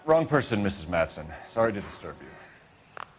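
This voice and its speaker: A brisk, authoritative American detective, lively pitch. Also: male voice, a little hiss or hum. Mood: businesslike. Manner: formal, authoritative. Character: clipped, businesslike male police detective in 1940s city. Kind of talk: video game cutscene acting.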